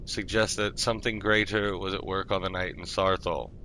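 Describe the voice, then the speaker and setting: A man streaming a game roleplay, reading lore aloud in a measured, formal way. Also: deep voice, level tone, sharp tone, slight background noise.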